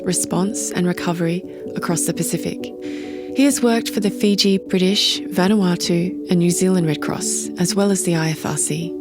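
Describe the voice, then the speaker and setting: A woman from Australia hosting a podcast, reading a formal, measured intro and then interviewing a guest. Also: mid-range voice. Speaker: podcast host.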